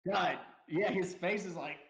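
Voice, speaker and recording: A man co-hosting a casual movie review podcast, animated and laughing often as he acts out lines from the film. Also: high-pitched, unhurried, creaky. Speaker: podcast co-host.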